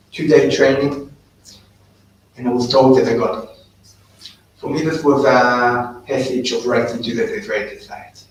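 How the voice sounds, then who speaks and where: A South African man in his thirties tells his story to a live audience in earnest, conversational tones. His voice comes from a distance and rings around a very echoey room. There is a faint hiss in the background. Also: medium pitch. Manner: earnest storytelling, animated when quoting others. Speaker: guest speaker. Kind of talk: personal talk to a live audience. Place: event room.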